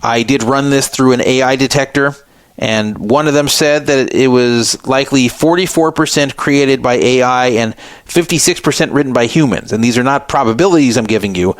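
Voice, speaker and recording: A man's voice: mid-range voice, normal speed, no echo. A man hosting a talk radio show, giving opinionated commentary and scoffing sarcastically at a statement he reads aloud.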